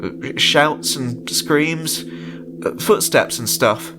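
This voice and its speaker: A man's voice: fairly high pitch, drawn-out words, over music, pitched above the performer's own voice, rough recording. A man voicing an awkward young male park employee in an audio story, hesitant, stammering and sheepish. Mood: hesitant, uneasy. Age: young adult.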